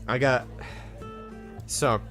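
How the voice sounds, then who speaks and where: A man's voice: mid-range voice, very slow, over music. Man with a slight Australian lilt. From Canada. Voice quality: rambling, restarts a lot, gets excited. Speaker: podcast co-host. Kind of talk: casual storytelling chat.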